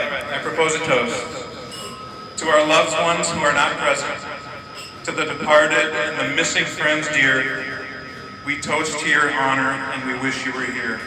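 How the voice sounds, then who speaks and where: A man with a slightly high voice gives a rambling, jokey toast that ends in a rhyming verse. He is heard from across an echoey reception hall, with a crowd murmuring around him. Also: distant mic. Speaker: guest giving a toast.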